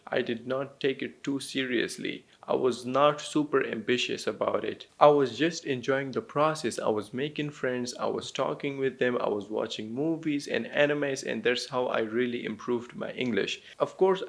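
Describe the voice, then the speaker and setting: Man, Pakistani accent with some American influence, gravelly creak, mid pitch, encouraging instructional talk. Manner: encouraging, simple step-by-step explaining. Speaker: language teacher and YouTuber.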